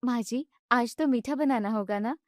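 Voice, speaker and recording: A woman's voice: fairly high pitch, normal speed, no echo, clean studio sound, pitched above the performer's own voice, natural voice. A woman voicing an earnest young daughter-in-law who talks plaintively in a family story. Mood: eager. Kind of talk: Hindi family story acting.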